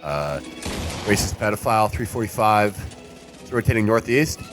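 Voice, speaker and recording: A man's voice: lowish voice, expressive, normal speed, over music, natural voice. A man playing a terse, muttering, aggressive young gamer on a stream in a comedic radio play. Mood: heated, focused. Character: foul-mouthed, heated young male gamer streaming.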